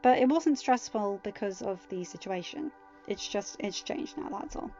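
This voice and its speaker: A woman narrating a fan story in a steady reading voice, then chatting casually to listeners. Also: mid-range voice, moderate pace, highs cut off, faint music behind. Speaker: fanfiction audiobook reader.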